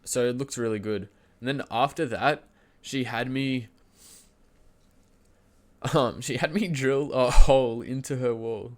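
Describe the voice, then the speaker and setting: A man's voice: medium pitch, unhurried, pauses often. A young man streaming from home, standing and shifting around at his desk mic. He has a cold, so his voice comes out nasal and congested. He rambles through his story in a casual, chatty way, trips over his words and keeps breaking into little laughs. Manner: loose and chatty, lots of fillers and restarts, laughs mid-sentence; standing and moving around while talking.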